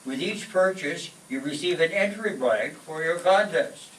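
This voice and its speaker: A man's voice: on the high side, animated, unhurried, a bit bright, a little hiss or hum. An actor playing a henpecked, skeptical family man and wisecracking pal in a 1940s-style radio sitcom. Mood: skeptical banter. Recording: echoey room, distant mic, faint music.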